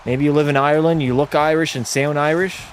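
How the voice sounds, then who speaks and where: A male streamer giving casual play-by-play of a hockey game and answering his chat in a relaxed way. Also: fairly high pitch, brisk, faint music behind. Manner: relaxed, drops into terse hockey call mode.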